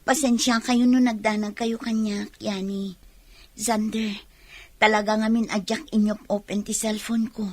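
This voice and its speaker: An actress playing a sorrowful young bride, dutiful and apologetic, in an Ilocano radio drama. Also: female voice, medium pitch, little pitch movement, unhurried, no room sound, natural voice. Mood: sad, apologetic. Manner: tearful, apologetic. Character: young bride Amanda, sorrowful, dutiful daughter forced to marry.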